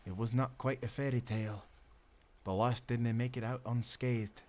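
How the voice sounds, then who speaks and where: An actor playing a confident, loyal Scottish sailor who talks in jaunty nautical dialect in an audio adventure. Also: male voice, mid-range voice, steady tone, normal speed, darkish tone, lightly acted. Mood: wry. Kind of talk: cinematic audio adventure acting.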